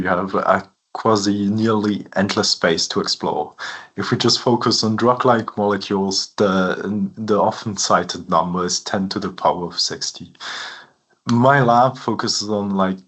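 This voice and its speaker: Lower-pitched Swiss man in his thirties, native French and German speaker, professor giving a seminar, easygoing, lots of "uh" and "like". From Switzerland. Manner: frequent fillers, relaxed and hesitant phrasing. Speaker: guest lecturer, professor. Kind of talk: academic seminar talk.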